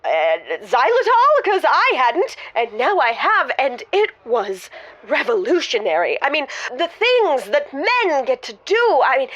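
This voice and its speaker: A woman acting as giddy imposter doctor, high-pitched, very animated, halting then theatrically gushing, improvised comedy. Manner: halting confessions swelling into gushing, theatrical declarations. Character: giddy, confessional woman posing as a manly doctor. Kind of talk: improvised comedy audio drama, radio call-in.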